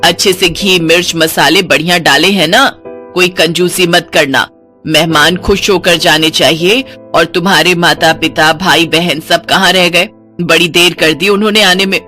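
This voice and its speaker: A woman's voice: on the low side, fairly even intonation, moderate pace, pushed and bright, faint music behind. Woman acting a haughty, snobbish older mother-in-law. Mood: bossy. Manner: pointed, patronizing. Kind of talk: Hindi moral-story cartoon dialogue.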